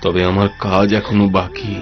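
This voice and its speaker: A man's voice: sits low, monotone, normal speed, lightly acted. A man playing the dutiful, secretive ghost of a village father in a cartoon, earnest and reassuring. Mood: sorrowful, resolute. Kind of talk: cartoon voice acting in Bengali. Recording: dull, band-limited audio under music.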